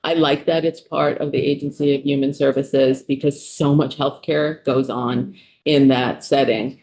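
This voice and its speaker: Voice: mid-range voice, flat delivery, a little slow, a little mellow. New York City–accented official testifying. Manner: conversational, many ums, restarts mid-sentence. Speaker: state official testifying as a witness. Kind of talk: testimony at a committee hearing.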